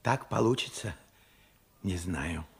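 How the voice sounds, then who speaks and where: An actor playing a frank, weary man in his late seventies in a radio drama, with a gravelly, husky voice and a halting, reflective manner. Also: sits low, lively intonation, full character voice. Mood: blunt. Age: 70s. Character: weary, frank 78-year-old Russian worker recalling his life. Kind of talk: Soviet radio drama acting.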